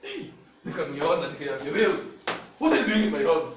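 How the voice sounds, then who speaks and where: Lively Kenyan preacher in echoey hall. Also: male voice, fairly high pitch, a little fast. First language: Luhya. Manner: animated storytelling, laughing often. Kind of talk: sermon. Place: church hall. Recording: very echoey hall, from far off, noisy.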